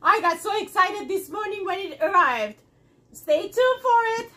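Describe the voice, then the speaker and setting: A middle-aged woman hosting a live cooking demonstration in her home kitchen, talking to viewers in a chatty, excitable way. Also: extremely high pitch, moderate pace, distant mic. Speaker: host selling kitchenware. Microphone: camera across the counter.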